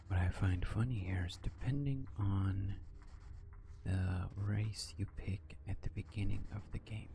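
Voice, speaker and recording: Hushed, low, flat-toned man doing ASMR commentary. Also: a little slow. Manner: hushed, often whispering. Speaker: gaming streamer. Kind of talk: soft-spoken ASMR game commentary. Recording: clear background noise, game ambience.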